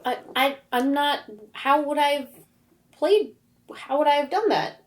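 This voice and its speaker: Woman playing a high-voiced, defensive teenage daughter. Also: measured pace. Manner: hesitant, protesting, stammering.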